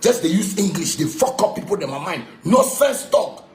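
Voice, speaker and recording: A man's voice: sits high, very animated, normal speed. A man with a Ghanaian accent delivering an angry, confrontational rant in Pidgin and broken English, repeating himself and throwing insults. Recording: echoey room with background noise.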